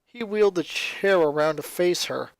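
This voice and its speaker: A man's voice: high voice, normal speed, vocal fry, smooth, no room sound, rough recording. A man reading fan fiction as a dramatic, scripted story narration.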